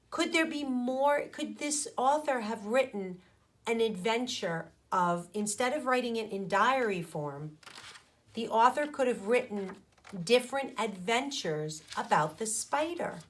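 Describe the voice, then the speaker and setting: A woman teacher reads aloud for young pupils in a fairly high voice. Her pace is markedly slow and deliberate, with pauses between phrases. She explains and poses questions as she goes. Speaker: primary school teacher. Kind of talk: read-aloud of a picture book for children with teaching commentary.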